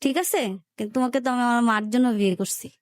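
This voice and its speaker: A young Bangladeshi woman speaks in a high voice, recorded close and dry. She tells a personal story in everyday colloquial language, slipping into reported dialogue as she narrates. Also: quick pace, no room sound. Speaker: guest telling her life story.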